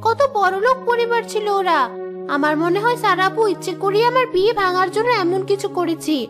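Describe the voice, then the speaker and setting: A woman's voice: very high-pitched, a little fast, music in the background. A woman voicing a vain, petulant young daughter of marrying age in a cartoon family drama, with a girlish, whiny manner. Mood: indignant, jealous.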